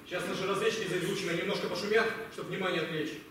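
Casting: male actor as wartime captain, high-pitched, brisk and protective, clipped orders; distant noisy stage recording. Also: steady tone, heavy reverb, natural voice. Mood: stern, businesslike. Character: commanding male army captain leading young women scouts. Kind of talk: amateur war radio play acting.

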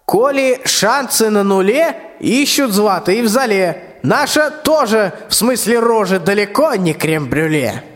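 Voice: mid-range voice, animated, a little slow, lightly acted. A performer playing a scheming, bossy old tsar in a rhymed radio play, with declamatory folksy speech. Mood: scolding, impatient. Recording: long hall-like reverb.

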